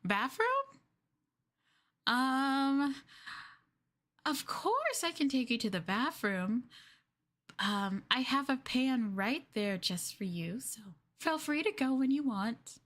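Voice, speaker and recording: A woman voicing a sweetly possessive, obsessive young girlfriend who holds her lover captive, in a soft, cooing, hesitant ASMR roleplay delivery. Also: high-pitched, lively intonation, slow, pauses often, full character voice. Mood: sweetly menacing. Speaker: streamer roleplaying for viewers.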